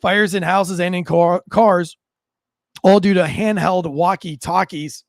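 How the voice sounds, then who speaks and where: A man with a noticeably high voice and an American accent delivers informal news commentary. He talks conversationally, mixing read-out reports with his own asides. The sound is dry and close, with little room in it. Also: steady tone, a little slow, no echo.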